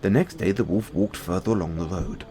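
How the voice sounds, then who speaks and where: A man narrating a bedtime fairy tale as a storyteller, in a simple, measured read-aloud delivery. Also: low-pitched, crowd noise.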